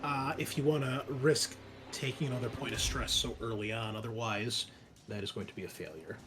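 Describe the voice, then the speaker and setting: Male game master, horror tabletop narration, halting delivery, music underneath. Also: mid-range voice. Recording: music behind the voice.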